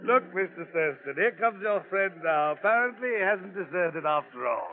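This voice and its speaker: Creaky-voiced, courteous gentleman traveler. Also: sits high, animated. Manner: formal, polite, measured phrasing. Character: courteous, formal gentleman traveler called Don, quietly anxious. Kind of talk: old-time radio drama acting. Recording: thin, tinny vintage radio recording.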